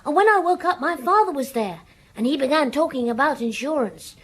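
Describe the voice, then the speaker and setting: A woman's voice: high voice, lively intonation, fairly quick. A woman playing an anxious, evasive village schoolboy in a radio drama, hesitant, naive and defensive. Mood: sheepish, confiding.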